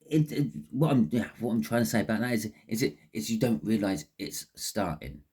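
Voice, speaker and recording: A man's voice: lowish voice, animated, brisk, warm tone, husky. A man with a phlegmy throat giving a casual, rambling tarot reading, often repeating words and restarting sentences. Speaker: tarot reader.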